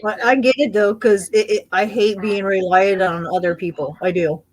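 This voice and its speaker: A woman's voice: mid-range voice, steady tone, normal speed. A woman, chatting casually and laughing easily. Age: 40s. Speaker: chat participant. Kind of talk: casual group chat.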